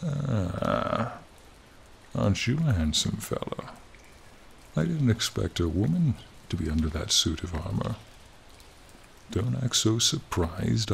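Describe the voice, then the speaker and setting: Male actor as courtly dragon for ASMR roleplay: very low, slow, breathy to whispering, charming with a menacing edge. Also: heavily acted. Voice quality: breathy, often sinking to a whisper. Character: ancient-sounding male frost dragon, charming, courtly, menacing turned friendly. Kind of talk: ASMR roleplay, dramatic monologue. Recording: steady rain-like ambient noise.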